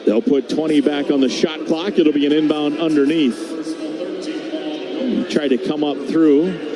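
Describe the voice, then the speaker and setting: A man's voice: fairly high pitch, fairly even intonation, a little slow, music in the background. An American man calling live basketball play-by-play on radio in short, clipped phrases, describing the action as it happens. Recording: very noisy arena background. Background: arena crowd and game ambience.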